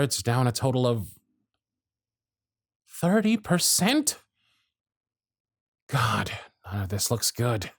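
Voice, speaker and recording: A man's voice: mid-range voice, no room sound, studio quality, exaggerated voice. A man voicing a harried, grumpy male elf supervisor in an audio roleplay, sarcastic and scolding. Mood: glum. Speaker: workshop supervisor.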